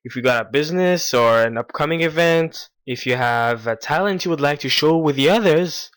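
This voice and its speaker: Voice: medium pitch, moderate pace, a bit bright. A radio show host presenting from a script and asking interview questions.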